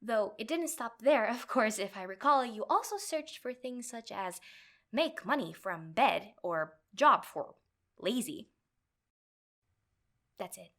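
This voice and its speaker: A woman acts out a sweet but possessive yandere girlfriend for a comedic audio roleplay. Her voice sits fairly high, and she rambles in a nervous, flustered way, stumbling over her words as she dotes clingily on her partner. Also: expressive, a bit bright, full character voice. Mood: amused, flustered, then earnest, smitten. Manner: flustered, halting stammers, giggly, rambling.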